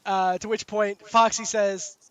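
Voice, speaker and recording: A man with a high-pitched voice, hosting a game stream in casual chat and acting out the people in his stories. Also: measured pace, clear, resonant tone, no echo. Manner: animated storyteller, acts out the people in his stories. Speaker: streamer host. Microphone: voice chat call.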